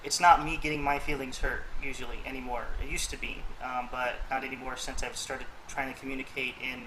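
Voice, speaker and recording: A man's voice: mid-range voice, level tone, fairly quick, noisy background. A man hosting a casual chat, thoughtful and rambling, with plenty of fillers. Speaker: host.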